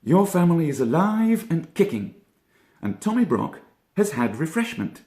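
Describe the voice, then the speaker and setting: Man as a pompous, high-voiced young rabbit. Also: sing-song, moderate pace, warm tone, echoey room. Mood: reflective, pompous. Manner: reflective, wordy, self-important. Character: level-headed, pompous young male rabbit cousin. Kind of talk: children's storybook character voice.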